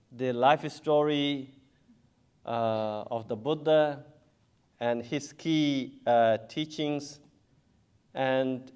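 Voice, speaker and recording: Slow, chuckling monk speaking at a symposium. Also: male voice, medium pitch. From Bangladesh. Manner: unhurried, chuckles often, many hesitations. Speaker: Buddhist monk, panel speaker. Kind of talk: symposium talk.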